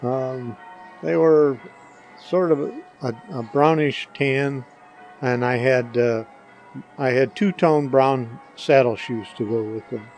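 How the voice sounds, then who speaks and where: Older male guest, medium pitch, hesitant dry understatement in casual answers, faint music behind. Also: slow pace, darkish tone, rough recording. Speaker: podcast guest, the hosts' father.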